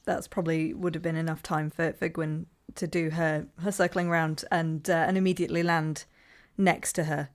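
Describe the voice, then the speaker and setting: A woman with a fairly low voice plays a tabletop roleplaying game. She improvises her character's actions and chats at the table. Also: little pitch movement, moderate pace. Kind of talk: improvised narration and table talk.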